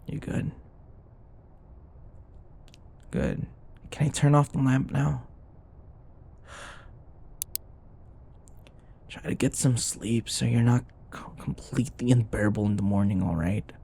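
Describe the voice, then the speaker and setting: A man's voice: mid-range voice, animated, slow pace, creaky, long pauses, dark tone, breathy edge, heavily acted. A man acting a grumpy, sarcastic office rival who grudgingly cares, in an intimate, blunt, conversational roleplay style. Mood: tired, irritated. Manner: exasperated, blunt, conversational, sighing.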